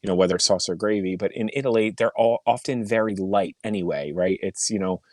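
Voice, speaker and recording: Man, podcast co-host, lowish voice, measured and hedging, dry close sound. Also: a little mellow, no room sound. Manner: measured, hedging, many fillers. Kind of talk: casual chat.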